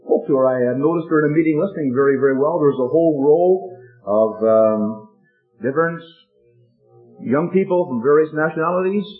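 A man delivers a sermon in an earnest, expository way, his voice sitting a little high for a man. The sound is thin and tinny, like a phone line. Soft music plays faintly underneath. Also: a little fast. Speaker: preacher. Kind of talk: sermon with personal anecdote.

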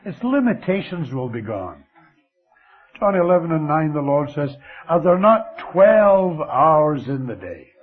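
Older Scottish preacher, slow and lively. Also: male voice, on the high side, slightly rough. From Scotland. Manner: slow, lively delivery with dramatic drops to near whisper. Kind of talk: sermon. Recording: thin phone-like radio broadcast.

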